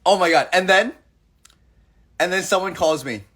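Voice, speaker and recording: A man's voice: high-pitched, normal speed, fairly bright tone. A young man hosting a casual livestream chat, playful and acting out the people in his stories. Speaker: livestream host.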